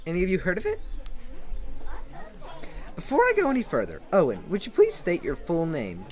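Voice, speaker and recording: A woman's voice: on the low side, wildly swooping pitch, moderate pace, darkish tone. A woman playing a showy, wily male advocate in an audio drama, theatrical and grandiose. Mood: confident. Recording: music bed behind the voice.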